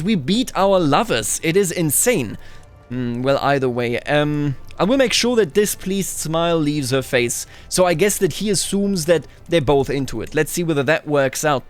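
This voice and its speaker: A man with a slightly high voice hosts a gameplay video in a casual style. He muses his way through the game and tells it as an unfolding story, with music playing faintly behind him. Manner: musing, narrating the game as a story. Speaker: gaming video host. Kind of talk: casual roleplay gameplay commentary. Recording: music under the voice. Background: background music.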